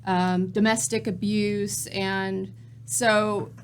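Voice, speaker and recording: A woman's voice: mid-range voice, little pitch movement, drawn-out words. Thoughtful woman band guest. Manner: thoughtful, explaining carefully. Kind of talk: casual studio chat.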